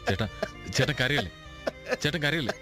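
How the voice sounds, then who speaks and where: A man's voice: mid-range voice, animated, moderate pace, bright, forceful tone, soft background music. An actor playing an earnest, respectful male subordinate in a radio drama, urgently soothing and coaxing. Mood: urgent consoling.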